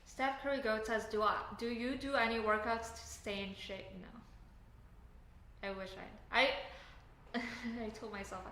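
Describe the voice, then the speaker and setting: A woman's voice: fairly high pitch, sharp tone, a little hiss or hum. Chatty Canadian woman streaming from home. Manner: chatty, many fillers and tangents. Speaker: livestream host, software engineer. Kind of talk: casual livestream chat reading comments. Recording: echoey room, faint background ambience.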